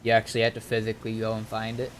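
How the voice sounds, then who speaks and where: A man's voice: lowish voice, monotone, racing, a little hiss or hum, low-quality recording. A man casually guiding newcomers through an online game, explaining step by step and somewhat hesitantly. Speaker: experienced game server player guiding newcomers.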